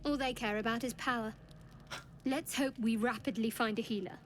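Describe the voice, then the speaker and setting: A woman's voice: on the high side, fairly even intonation, normal speed, slight background noise, natural voice. An actress playing a stern, wary warrior woman in a fantasy video game, curt, proud and dry. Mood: stern, impatient.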